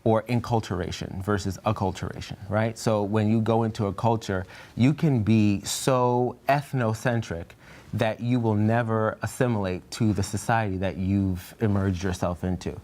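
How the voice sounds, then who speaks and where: A man's voice: lowish voice, steady tone, normal speed, a little mellow. A young man in a Bible study group, talking in an earnest, reflective way and sometimes searching for words. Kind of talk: discussion group chat.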